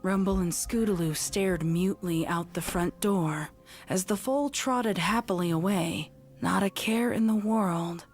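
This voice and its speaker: A woman's voice: fairly low pitch, a little slow, faint music behind. A woman reading scripted fiction as a dramatic story narrator.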